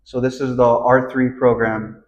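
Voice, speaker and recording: A man's voice: mid-range voice, flat delivery, measured pace. A man working as an interviewer, asking plain questions, recorded with slightly muffled sound.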